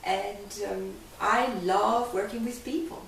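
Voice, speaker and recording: An older woman with a Hungarian accent talks earnestly about her coaching work, with a mid-range pitch. She sounds distant from the mic in a very echoey room. A faint hiss sits underneath. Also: slow pace, creaky, fairly bright tone. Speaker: life coach guest. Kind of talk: candid interview.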